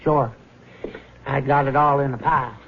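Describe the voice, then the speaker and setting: An actor playing a blunt, defensive accomplice in a 1970s radio drama, talking curtly. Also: male voice, mid-range voice, steady tone, normal speed, dull audio, natural voice. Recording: noisy, thin vintage radio recording.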